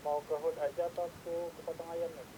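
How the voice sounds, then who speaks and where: A man's voice: flat delivery, quick pace. A man chatting casually in slang as a player in an online roleplay game. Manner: colloquial, slangy. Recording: clear rumbling background noise.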